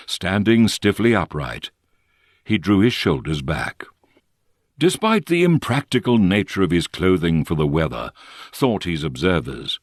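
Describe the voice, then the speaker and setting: Male audiobook narrator for literary fiction: low register, animated melody, measured and expressive delivery. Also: no echo, studio quality.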